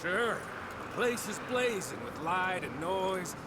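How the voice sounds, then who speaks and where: A man's voice: high-pitched, very animated, slow, heavy background noise, exaggerated voice. An actor playing a sly, callous American outlaw gunman in a cinematic game, with a sardonic, mocking drawl.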